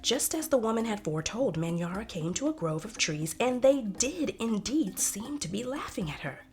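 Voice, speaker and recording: A woman narrating a children's storybook in an expressive, careful way. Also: on the high side, normal speed, faint music behind.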